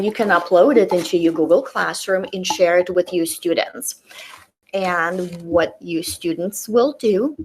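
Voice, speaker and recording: A woman's voice: mid-range voice, a little hiss or hum, rough recording. A middle-aged woman teacher with a Russian accent, a native Russian speaker, carefully demonstrating a tool in a webinar on a computer mic. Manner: careful, deliberate, demonstrates on screen. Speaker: teacher presenter.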